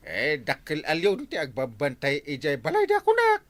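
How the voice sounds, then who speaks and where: An actor playing a nervous, excitable village captain who stammers and jumps in pitch. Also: male voice, normal speed. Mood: excited. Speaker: radio drama lead.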